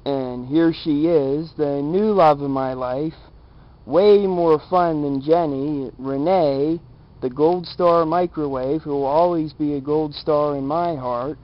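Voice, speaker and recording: A man's voice: a little high, takes it slowly. A man narrating a casual experiment vlog as its host, in a jokey, mock-affectionate way. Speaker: video show host. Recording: dull lo-fi camera audio, background noise.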